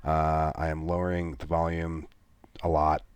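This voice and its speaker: A middle-aged man with a soft, very low voice talks through a game walkthrough. His delivery rambles, dotted with filler sounds and self-deprecating asides. The sound is dry, with no room around it. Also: completely flat delivery, measured pace, clear, resonant tone, no room sound. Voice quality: soft-spoken. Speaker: gaming video creator. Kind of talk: gameplay walkthrough commentary.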